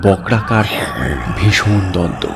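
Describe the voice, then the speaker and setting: A low-voiced man narrates a horror story. His delivery is theatrical and suspenseful, set out in deliberate short phrases. Music plays clearly behind his voice. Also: fairly even intonation. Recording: voice over background music. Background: music bed.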